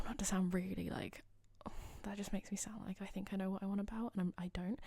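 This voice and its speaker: A young woman with a slightly husky voice, rambling casually over a game build video. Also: medium pitch, a little mellow, no echo. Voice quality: slightly husky, losing her voice. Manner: rambling, chatty, self-deprecating. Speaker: gaming channel host.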